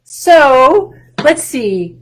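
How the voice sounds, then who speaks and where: A woman in her fifties, a children's librarian leading a storytime at home, reading and singing with animated, clear delivery over a webcam. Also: sits high, soft background music, poor audio. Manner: animated, sing-song, slow and clear. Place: home room with bookshelves. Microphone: webcam on a video call.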